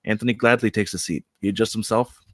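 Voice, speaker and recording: A man's voice: lowish voice, steady tone, quick pace, fairly bright tone, dry recording, clean studio sound. A man reading stage directions as narrator of a scripted comedy table read.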